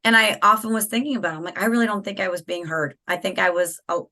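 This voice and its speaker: A woman hosting a podcast interview over a video call, speaking in a casual, affirming way, her voice a little raspy after losing it. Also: mid-range voice, fairly even intonation, fast. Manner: warm, affirming, frequent agreeing interjections. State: slightly raspy from a lost voice. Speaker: podcast host.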